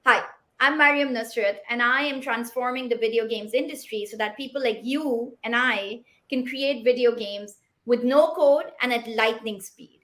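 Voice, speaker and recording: A woman in her thirties from Pakistan, Pashto her first language, delivering an upbeat, rehearsed startup pitch with rhetorical questions. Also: moderate pace, a bit bright.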